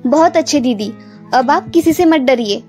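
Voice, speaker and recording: A woman's voice: sits high, expressive, normal speed, dark tone, faint music behind. A woman voicing a bold, confident young news reporter in a cartoon, speaking firmly. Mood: encouraging. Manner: firm, confident. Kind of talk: cartoon voice acting.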